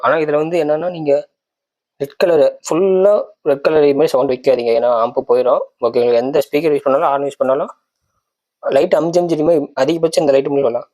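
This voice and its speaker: A man's voice: a little high, a little mellow. A man giving a casual product walkthrough, explaining step by step and often checking that listeners follow. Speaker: tech channel presenter.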